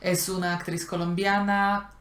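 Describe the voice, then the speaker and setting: A woman co-hosting a casual conversation, telling stories with pauses and restarts. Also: fairly low pitch, level tone, a bit bright. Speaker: co-host of a language channel. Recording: roomy echo.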